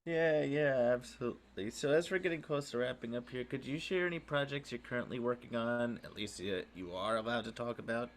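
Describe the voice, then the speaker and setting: A man's voice: medium pitch, fairly quick, few pauses, a crowd in the background. A man hosting a casual interview. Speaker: podcast host.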